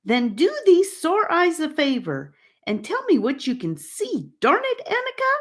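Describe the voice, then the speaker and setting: A woman's voice: sits high, huge pitch swings, measured pace, voice pushed up, heavily acted. A woman voicing an angry, frustrated blinded boy in an audiobook story, whining and emphatic. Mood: annoyed.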